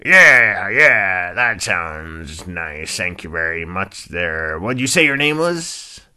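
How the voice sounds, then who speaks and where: Man playing a grumbling, very low-voiced old rhinoceros. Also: big pitch swings, slow pace, pushed and bright, exaggerated voice. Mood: grudgingly friendly. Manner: grumbling, hesitant muttering with ums. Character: grumpy old cantankerous male rhinoceros, big, forgetful, muttering.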